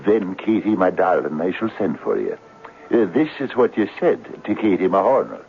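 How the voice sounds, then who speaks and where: An actor playing a garrulous, philosophizing Irish barfly friend in a theatrical 1970s radio drama, teasing and grandiloquent with an Irish accent. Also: male voice, low voice, big pitch swings, vocal fry, soft background music, heavily acted. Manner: grandiloquent, theatrical, drawn-out storytelling. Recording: tinny, narrow, noisy old radio recording.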